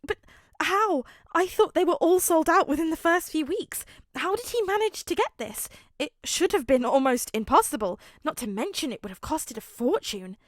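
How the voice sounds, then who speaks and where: A woman voicing an anxious, excitable teenage boy who mutters nervously. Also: sits high, normal speed, no room sound, studio quality, voice pushed up. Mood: thrilled.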